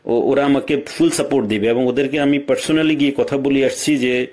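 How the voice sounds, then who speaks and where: A Bangladeshi man talks over a recorded telephone call in a mid-pitched voice. He is respectful and deferential, speaking like a party organiser reporting to a senior. His phrasing is halting and repetitive. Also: fairly even intonation, darkish tone. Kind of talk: deferential phone conversation.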